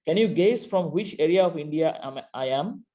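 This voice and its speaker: A man's voice: fairly high pitch, lively intonation, normal speed, mellow. A man from Bangladesh with a Bangladeshi accent, a university lecturer teaching an online class over a computer mic, talking hesitantly with many fillers and restarts. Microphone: computer mic on video call.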